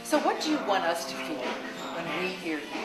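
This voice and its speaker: Lively female interviewer over loud music. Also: fairly low pitch, brisk. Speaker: interviewer, show host. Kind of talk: casual on-location interview. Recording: echoey space with loud music.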